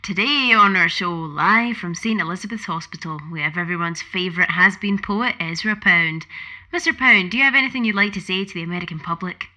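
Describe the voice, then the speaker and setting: An actress playing a bold, teasing young poet in an audio play, with a wry, direct manner. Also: female voice, fairly low pitch, a little fast, bright, forceful tone. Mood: playful.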